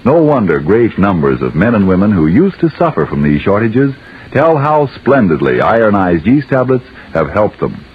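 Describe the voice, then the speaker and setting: A man delivering an emphatic 1940s radio advertising pitch like a practiced salesman. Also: very animated, moderate pace, breathy edge. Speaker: radio announcer. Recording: thin, phone-like 1940s radio recording.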